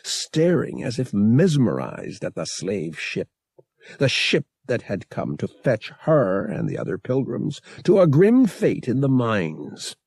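Male narrator for space adventure audiobook: animated dramatic read, medium pitch, very dry sound. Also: slow pace, creaky, breathy edge, no room sound.

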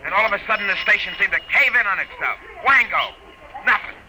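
A man's voice: high voice, expressive, fairly quick, sharp tone, full character voice. A man playing an insistent newspaper reporter in a vintage radio drama, slangy, urgent and staccato. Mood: frantic. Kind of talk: 1940s-50s radio drama acting. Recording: old radio recording, faint noise.

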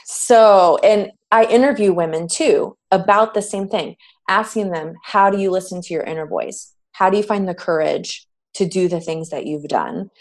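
Low-voiced reflective woman guest. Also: moderate pace, hardly any pauses. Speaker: podcast guest and coach. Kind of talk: interview conversation.

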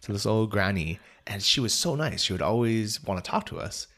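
Lower-voiced man telling stories. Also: fairly quick. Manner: relaxed storytelling, many fillers. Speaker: host. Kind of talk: casual conversation.

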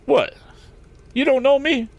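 A man's voice: a little high, huge pitch swings, normal speed, a bit bright, no echo, muffled recording, voice pushed up, heavily acted. A man voicing an older, good-natured country wagon driver in a storybook, cheerful and feisty, with folksy rustic speech. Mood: excited, friendly. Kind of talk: audiobook character acting.

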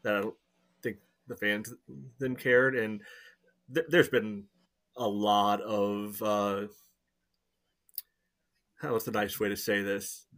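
A man with a slightly low voice talks in a relaxed podcast chat. He hedges his way through each point, leaning on "you know" and "uh" between phrases. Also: slow, pauses often. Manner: hesitant, heavy use of "you know" and "uh".

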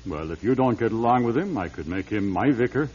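An actor playing a genial, tactful aging bishop in a radio drama, with a husky avuncular voice on a muffled recording. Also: male voice, fairly low pitch, brisk. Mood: gently chiding. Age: older. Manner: avuncular, hesitant, animated.

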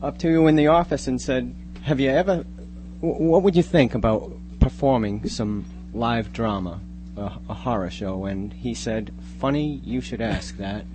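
Male interview guest, mid-range voice, casual, thoughtful, halting, noisy room tone. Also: mid-range voice, a little mellow. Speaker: guest, amateur scriptwriter. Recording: noticeable hum and background noise.

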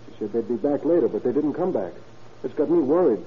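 An actor playing an anxious young nephew, plaintive and earnest, in an old-time radio drama on a muffled, hissy recording. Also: male voice, mid-range voice, lively intonation, fast talker, natural voice. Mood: worried.

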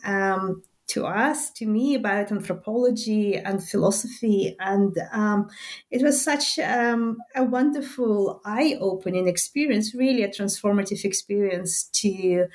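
A woman in her forties from Belarus, a native Russian speaker, answers interview questions in a reflective way. She hesitates often and fills her pauses with ums. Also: medium pitch. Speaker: author and social anthropology lecturer. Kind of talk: interview conversation.

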